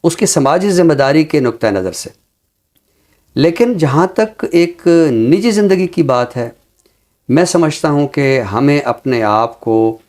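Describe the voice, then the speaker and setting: Measured man giving reflective social commentary. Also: mid-range voice, a little mellow. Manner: measured, deliberate, explanatory; earnest, literary vocabulary. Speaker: talk show presenter and commentator on society and literature. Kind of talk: reflective spoken commentary in a talk show.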